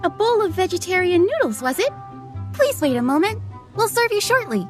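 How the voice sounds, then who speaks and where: A woman's voice: very high-pitched, very animated, moderate pace. A woman voicing a cheerful young female restaurant server with polite service talk. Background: game background music.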